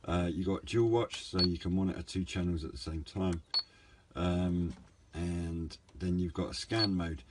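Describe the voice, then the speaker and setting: A man giving a casual, unscripted hobbyist product review in a hesitant, low-key, rambling way. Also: fairly even intonation, a little slow. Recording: clear background noise, room ambience.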